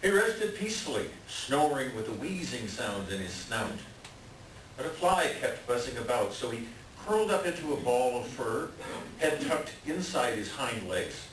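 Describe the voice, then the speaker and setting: A man's voice: medium pitch, a bit bright. A man reading scripted storytelling narration as the narrator of a readers theater piece. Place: library room at a live reading. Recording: very echoey room, distant mic, faint noise.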